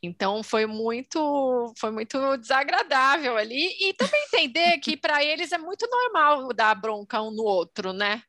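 A young Brazilian woman with a Brazilian Portuguese accent chats and tells stories on a podcast, laughing easily. Her voice sits high and bright. The sound is dry and close. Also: expressive, moderate pace, few pauses, no room sound. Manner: laughing often, chatty anecdotes. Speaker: podcast co-host. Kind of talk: casual chat, storytelling.

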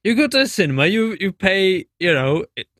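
A young man co-hosting a podcast, chatting agreeably with quick asides. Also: high voice, sing-song, a bit bright, dry recording, studio quality. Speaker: podcast co-host. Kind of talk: casual chat.

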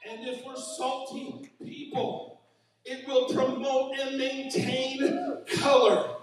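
Male pastor, very high voice, fervent shouting sermon, captured from afar in a booming hall. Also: animated, slow pace, faint music behind. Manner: impassioned preaching, often shouting. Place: church sanctuary. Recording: very echoey hall, mic far off.

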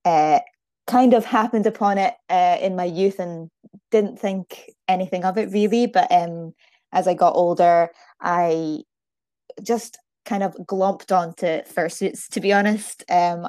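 Scottish woman, 30s, chatty podcast guest. Soft-toned, slightly low voice, dry close sound. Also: no room sound. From Aberdeen, Scotland. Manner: chatty, self-deprecating, laughs often. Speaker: podcast guest, fursuit maker. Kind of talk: casual chat, telling own story.

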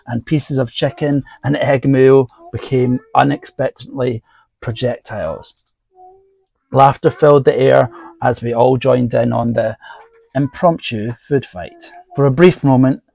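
A man reading scripted stories aloud, then chatting casually with fillers, his voice going husky. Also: medium pitch, a little mellow, dry recording, highs cut off. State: voice getting husky. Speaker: podcast host.

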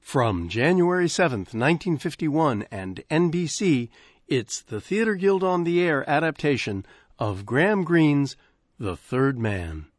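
Lively radio host, dry studio. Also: male voice, fairly high pitch, no room sound. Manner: measured, articulate, lively delivery. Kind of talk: scripted radio introduction. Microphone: close studio microphone. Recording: dry close studio sound.

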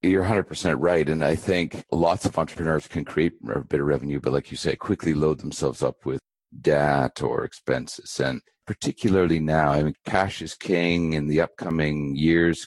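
A middle-aged man from Canada, a guest giving measured, emphatic business advice in a podcast interview. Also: a bass voice, fairly even intonation, no echo. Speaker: entrepreneur guest.

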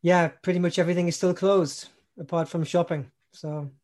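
A man's voice: a little high, moderate pace. Chatty man, laid-back. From Newcastle upon Tyne, England. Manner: relaxed, laughs often, many ums and restarts. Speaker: singer-songwriter guest. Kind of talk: casual interview chat.

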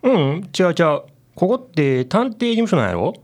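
An actor with a Kansai accent playing a casual, blunt convenience store clerk in a radio drama. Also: male voice, sits high, sing-song, moderate pace, a little mellow. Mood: casual, curious.

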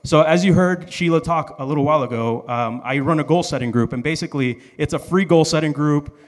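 A man's voice: fairly high pitch, brisk. Man telling stories in a reverberant hall. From Dominican Republic and Miami, USA. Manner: informal, joking, swearing. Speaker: storyteller. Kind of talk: personal storytelling on stage.

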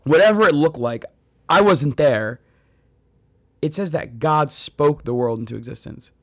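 An earnest man in his thirties talks through scripture in a conversational way, turning to the listener with stories from his own life. His voice sits a bit high and carries a gravelly fry. The sound is dry, with no room echo. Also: animated, a little slow. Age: 30s. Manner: addresses listeners directly. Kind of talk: devotional podcast talk on scripture.

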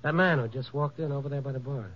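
A man's voice: mid-range voice, fast talker, slight background noise, natural voice. Wry hard-boiled radio noir reporter played by a man. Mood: concerned. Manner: wry, world-weary, wisecracking. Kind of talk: 1950s radio noir narration and acting. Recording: muffled vintage 1950s radio recording.

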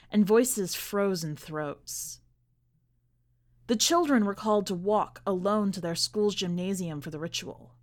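A woman narrating a scripted literary short story in a measured, deliberate way, with dramatic pauses. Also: medium pitch. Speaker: podcast host and story reader.

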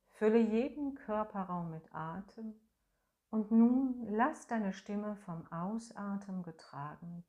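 A woman leading a guided voice and breathing meditation in a slow, soft, soothing way, humming tones to demonstrate. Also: on the low side, highs cut off. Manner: slow, soft, soothing instructions with long pauses; hums and sings sustained tones as demonstration.

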